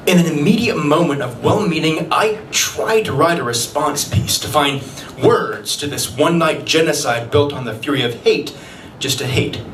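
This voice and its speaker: A man's voice: a little high, expressive, moderate pace, fairly bright tone. A man reading spoken-word poetry at a live open mic, with an earnest, emphatic and dramatic delivery. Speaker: poet and open mic co-host. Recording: roomy, noisy live venue recording.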